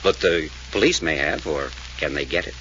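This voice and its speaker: An actor playing a wisecracking male amateur detective in a 1940s radio drama, questioning in a brisk, wry, probing manner. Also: rumbling low, bright, forceful tone. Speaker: detective figure. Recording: dull old radio recording with faint hiss.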